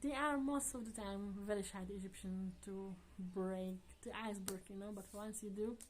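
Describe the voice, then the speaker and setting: A woman vlogger chatting casually to her viewers in earnest, non-native English, often laughing softly. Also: mid-range voice, fairly even intonation, normal speed, a little hiss or hum. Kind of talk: casual chat to camera.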